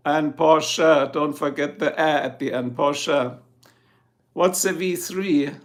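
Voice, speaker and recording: A man's voice: a little high, fairly even intonation, slow. A middle-aged man with a German accent, chatting casually with viewers on his livestream and answering their comments. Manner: chatty, answers viewers one by one, chuckles often. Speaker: livestream host.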